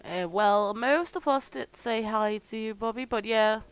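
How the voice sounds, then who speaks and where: Slow-talking hoarse woman streamer. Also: a little high, dry recording. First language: Danish. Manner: unhurried, chatty, greets viewers warmly by name. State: slightly hoarse. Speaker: gaming streamer. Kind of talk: casual livestream commentary while playing.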